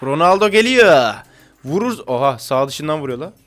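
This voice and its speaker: A man's voice: a little high, sing-song, normal speed, fairly bright tone. A man in his twenties giving casual, slangy, jokey reaction commentary as a video host. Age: 20s. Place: small indoor room with brick-patterned wall.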